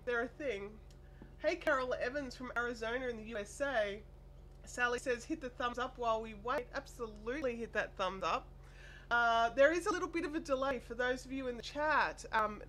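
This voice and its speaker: An Australian woman hosting a casual craft live stream, chatty and apologetic, her voice made deeper and nasal by a chest cold and sore throat. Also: fairly bright tone. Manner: chatty, apologetic, reads viewer comments aloud. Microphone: desk microphone. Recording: faint air conditioner hum.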